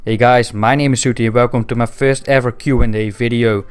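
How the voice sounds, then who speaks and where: A man in his twenties from the Netherlands with a Dutch accent, answering viewer questions in a casual voice-over, matter-of-fact with frequent pauses. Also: mid-range voice, fairly even intonation, loud music behind the voice. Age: 20s. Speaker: gaming video creator.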